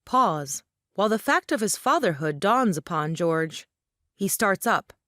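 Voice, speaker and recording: A woman's voice: mid-range voice, lively intonation, unhurried, fairly bright tone, no echo. Woman narrator, close and dry. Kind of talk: scripted narration of stage directions.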